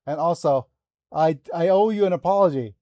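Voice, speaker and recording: Mellow-voiced man in his forties, rambling. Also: fairly high pitch, a little fast, no echo. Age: 40s. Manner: rambling, chatty, with frequent fillers and self-corrections. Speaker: streamer playing a game. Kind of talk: casual live gameplay chat.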